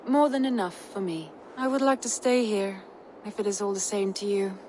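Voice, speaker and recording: An actress playing a spirited Viking clan wife with an earnest, formal manner in a video game cutscene. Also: female voice, medium pitch, normal speed, a little mellow, slight background noise, natural voice. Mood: tender.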